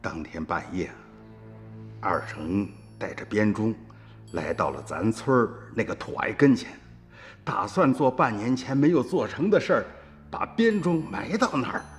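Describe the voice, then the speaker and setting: A man acting a stern, anguished older uncle in a radio drama, speaking emphatically with drawn-out emotion. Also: on the low side, sing-song. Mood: grave recounting. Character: stern, anguished older uncle scolding and narrating past events. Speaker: storyteller character in radio drama. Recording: long studio reverb, music underneath.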